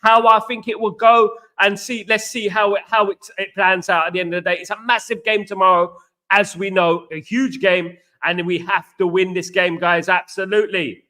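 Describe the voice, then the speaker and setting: English guy from england chatting on a stream, high bright voice, says hi to everyone by name. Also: level tone, moderate pace. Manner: chatty, greets viewers by name, repeats himself. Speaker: host. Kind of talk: casual livestream chat.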